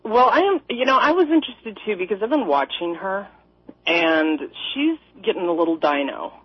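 A woman from Minnesota calls in to a radio talk show, rambling along with laughs and sarcasm. Her voice is husky, with a middle pitch. The audio is dry and muffled. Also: expressive, normal speed, no room sound. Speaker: caller. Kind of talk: call-in radio chat.

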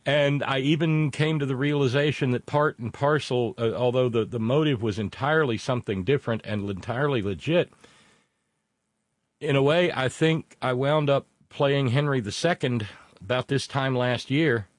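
A man in his late fifties talking candidly as a show host, halting yet emphatic, with folksy colloquial phrasing. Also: medium pitch, no echo. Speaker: show host taking a call. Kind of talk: candid personal conversation.

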